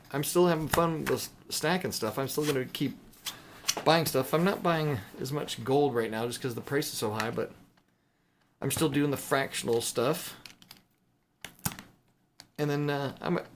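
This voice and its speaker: A man with a medium-pitched voice talks informally, as if thinking aloud. He rambles casually through an unscripted hobby video, with some noise behind him. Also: unhurried, pauses often. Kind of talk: casual unscripted chat to viewers while showing items.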